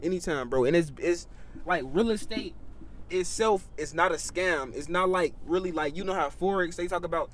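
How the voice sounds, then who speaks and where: A man's voice: high-pitched, moderate pace, a bit bright. An eighteen-year-old man, talking animatedly in a casual podcast chat, tagging lines with "bro" and "you know what I mean".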